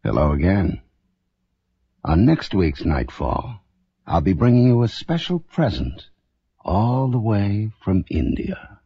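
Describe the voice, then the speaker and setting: An actor playing a mysterious, sinister radio horror host, raspy and gravelly, with theatrical menace. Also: male voice, on the low side, very animated, slow, heavily acted. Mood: sinister relish. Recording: dull, muffled old radio recording.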